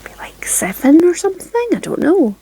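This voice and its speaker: A Scottish woman in her forties, chatting casually to camera from her home craft room in a chatty, self-deprecating way with frequent ums and soft laughs. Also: very high voice, sing-song, moderate pace, a little hiss or hum, low-quality recording. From Scotland. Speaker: craft channel host.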